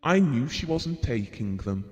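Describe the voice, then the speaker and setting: A man's voice: mid-range voice, big pitch swings, a little slow, a little mellow, faint music behind. An actor playing a guilt-ridden, exasperated husband in an audio drama, confessing his regrets in a strained manner. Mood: weary, regretful. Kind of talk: audio drama monologue and dialogue. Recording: reverberant hall-like room sound.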